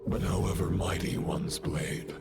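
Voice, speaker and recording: An actor playing a solemn, grandiose fallen knight of the Abyss in a video game, speaking formally with archaic, declamatory phrasing. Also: male voice, deep voice, flat delivery, unhurried, gravelly. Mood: somber, righteous. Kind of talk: dramatic video game voice acting. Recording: loud music under the voice.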